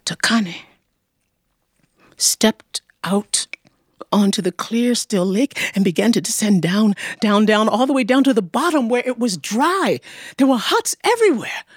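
A woman storyteller narrating a folktale dramatically, expressive and rhythmic, repeating words for effect. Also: a little high, unhurried, breathy edge, dry recording, studio quality.

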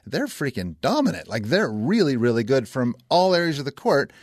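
A man's voice: medium pitch, sing-song, normal speed, dry recording, studio quality. A man co-hosting a sports talk show, giving conversational and emphatic opinions. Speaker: radio sports show co-host.

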